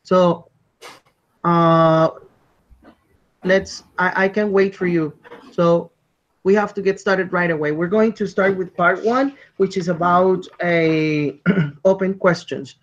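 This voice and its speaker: A man conducting an English speaking exam over a video call, polite and measured, repeating his instructions. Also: high voice, fairly even intonation. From Colombia. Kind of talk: structured spoken exam interview. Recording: dull lo-fi call audio.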